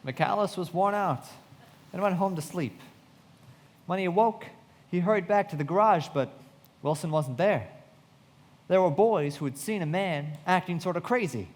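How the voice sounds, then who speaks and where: An actor playing a reflective, loyal young narrator in a live radio play, with measured dramatic delivery in a reverberant hall. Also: male voice, high-pitched, close to an everyday voice. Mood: reflective, sombre.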